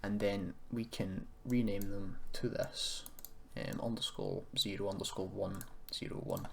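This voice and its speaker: A man giving an informal software tutorial, thinking aloud in a hesitant, self-deprecating way as he goes. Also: on the low side, fairly even intonation, unhurried, creaky. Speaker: tutorial presenter. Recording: noisy room with keyboard clicking.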